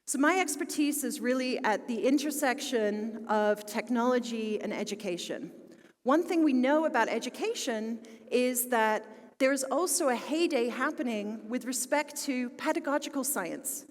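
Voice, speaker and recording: Upbeat Philadelphia woman giving a keynote. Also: a little high. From Philadelphia, United States. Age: 40s. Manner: enthusiastic, with frequent fillers. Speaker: conference speaker. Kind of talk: conference keynote talk. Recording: long hall reverb.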